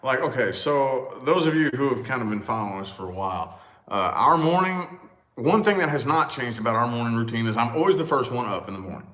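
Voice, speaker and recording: A man with a loud, booming voice, talking in a casual, animated chat with exclamations and swearing. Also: brisk. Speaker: vlog co-host. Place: large echoey house. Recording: echoey room.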